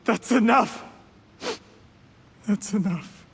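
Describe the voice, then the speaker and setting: An actor playing a smooth-talking, scheming young usurper with polite, calculating manners in a dramatic video game. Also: male voice, sits high, very slow, slight background noise, full character voice. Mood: distraught.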